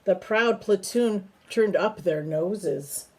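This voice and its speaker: A woman's voice: mid-range voice, husky. A woman reading a children's picture book aloud, breaking off for chatty, coaxing questions to a small companion. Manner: unhurried, asks simple coaxing questions. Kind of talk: children's read-aloud with chatty asides to a bird.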